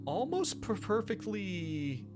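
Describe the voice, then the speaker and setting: A man running a tabletop game, improvising the narration haltingly and repeating himself for suspense. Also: sits high, big pitch swings, music in the background. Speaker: game master.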